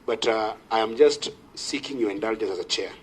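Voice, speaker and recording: A man with a Kenyan accent speaking as a senator on procedure, formal and deferential, in a halting delivery. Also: fairly low pitch, breathy edge. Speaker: senator raising a procedural point. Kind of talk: formal parliamentary debate.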